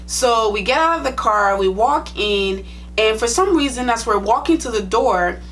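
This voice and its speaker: A woman's voice: a little high, lively intonation, moderate pace, a bit bright, a little hiss or hum. A young woman with a Liberian accent, a nursing vlogger talking to her viewers in a chatty, direct, plain-spoken way. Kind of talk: casual storytime vlog.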